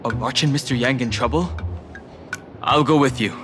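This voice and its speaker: An actor playing a reserved, loyal, protective companion in a video game, serious and sparing with words. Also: male voice, medium pitch, normal speed, over music, close to an everyday voice. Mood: concerned. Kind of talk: video game character dialogue.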